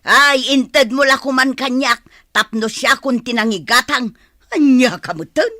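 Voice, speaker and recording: Woman acting a argumentative older mother, Ilocano accent, fairly high bright voice, grumbling, radio drama. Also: a little slow, dry recording, clean studio sound. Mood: demanding. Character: stubborn older mother who drinks and gambles.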